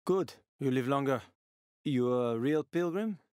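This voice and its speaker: A man's voice: mid-range voice, lively intonation, measured pace, darkish tone, clear, resonant tone, no echo, close to an everyday voice. Man acting a world-weary, sardonic game survivor, dry sound. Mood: guarded, reassuring. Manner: gritty, sardonic, foul-mouthed. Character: world-weary male survivor, streetwise, wry, sometimes menacing. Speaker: video game voice actor. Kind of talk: dramatic video game dialogue.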